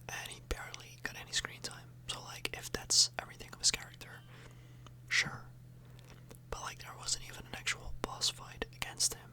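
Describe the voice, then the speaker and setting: A woman speaking in a breathy whisper, rambling casually for an ASMR video, close to the microphone and often tagging on questions. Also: low-quality recording. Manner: casual, meandering, frequent tag questions.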